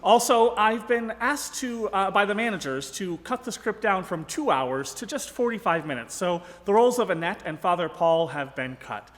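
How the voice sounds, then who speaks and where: An actor playing an apologetic, earnest, halting theater director in a live radio comedy. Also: male voice, high-pitched, animated. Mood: earnest, awkward. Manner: halting, placating. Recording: reverberant ballroom stage.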